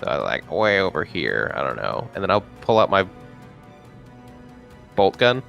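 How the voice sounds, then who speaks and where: A man talks casually as a player at a tabletop game. His voice sits fairly low with a gravelly fry, and his intonation moves around in a lively way. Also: unhurried, highs cut off, soft background music. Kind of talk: casual chat.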